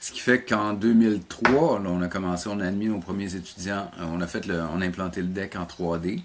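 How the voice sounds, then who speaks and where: Middle aged quebec guy getting interviewed, deep voice, talks in halting bits and repeats himself. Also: steady tone. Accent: Quebec French. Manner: halting, repeats words while recounting. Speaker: college animation teacher, interview guest. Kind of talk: conversational interview answers.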